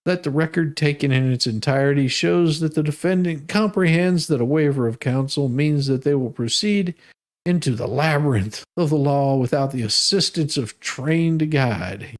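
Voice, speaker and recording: A man hosting a live stream, reading documents aloud and adding off-the-cuff, sardonic and mocking commentary. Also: on the high side.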